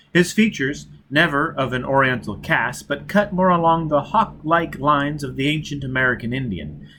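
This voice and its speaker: A man with a medium-pitched voice narrates an audiobook. His reading is scripted and dramatic, with faint steady noise behind it.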